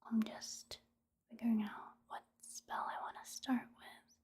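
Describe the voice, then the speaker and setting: A woman giving soft-spoken ASMR game commentary in a hushed, breathy whisper, in a gentle, casual, unhurried manner. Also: fairly high pitch, little pitch movement. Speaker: gaming ASMR video host.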